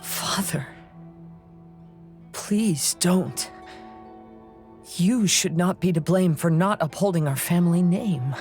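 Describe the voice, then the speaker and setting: An actor playing a gentle, earnest young samurai heir in a video game, soft-spoken and poetic in manner. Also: male voice, sits high, slow, long pauses, soft background music. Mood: earnest pleading. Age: young adult. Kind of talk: dramatic video game dialogue.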